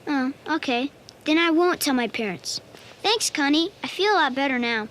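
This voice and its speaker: An earnest schoolboy speaks in a high, hesitant, childlike voice, confiding his worries. There is clear noise behind the voice. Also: animated, moderate pace, a bit bright. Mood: unsure. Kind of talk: family radio drama acting.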